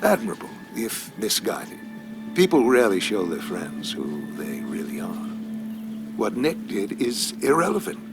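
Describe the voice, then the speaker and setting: Elderly game villain, deep creaky voice, smug small-town businessman, dramatic swoops, silky menace. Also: huge pitch swings, music in the background, full character voice. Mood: coolly threatening. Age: older. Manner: measured, silky, self-justifying, theatrical pauses. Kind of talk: video game dramatic acting.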